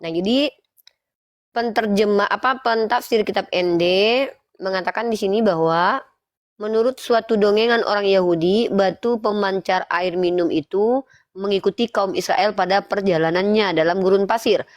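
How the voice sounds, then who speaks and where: A woman's voice: medium pitch, normal speed, dry recording, highs cut off. A woman hosting a live religious debate stream, blunt and challenging, with a slight head cold. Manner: blunt, challenging, sure of herself.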